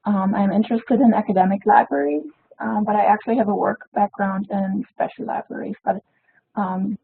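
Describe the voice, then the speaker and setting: A woman's voice: medium pitch, vocal fry, a little hiss or hum. A woman from Germany, a library science student, politely introducing herself on a webinar with frequent fillers. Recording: phone-like webinar audio.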